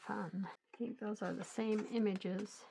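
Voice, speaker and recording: A middle-aged woman hosting a crafting channel, a little hoarse, chatting in a relaxed, rambling way while she works. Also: medium pitch, unhurried, heavy background noise. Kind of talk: casual chat while crafting.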